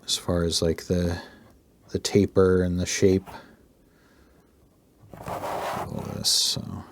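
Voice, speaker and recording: A man narrating a relaxed drawing tutorial as the channel host, his voice slightly hoarse, talking loosely with fillers. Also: low-pitched, takes it slowly, a little hiss or hum.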